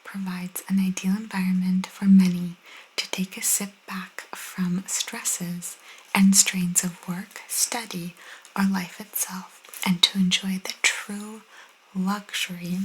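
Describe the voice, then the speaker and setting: Young female ASMR host, soft intimate whisper with casual chatty delivery, mid-pitched, some noise in the recording. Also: flat delivery, slow, low-quality recording. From Italian cultural background.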